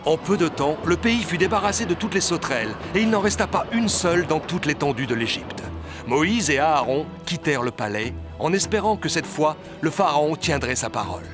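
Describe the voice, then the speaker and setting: A man's voice: fairly high pitch, expressive, brisk. A man giving scripted narration of a story as narrator. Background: music, outdoor ambience effects.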